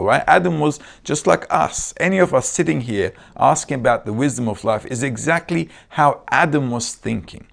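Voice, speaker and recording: Gravelly Turkish-accented man lecturing. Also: mid-range voice. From Turkey. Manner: conversational, checks in with listeners often. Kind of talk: casual spoken lecture. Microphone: video call.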